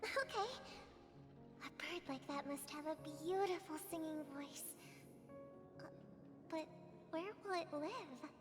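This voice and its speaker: A kind, idealistic little sister in a game story scene. Her voice is very high and tender. It rings with long reverb over clearly audible music. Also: takes it slowly, pauses often. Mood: innocent, hesitant. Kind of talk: video game cutscene acting.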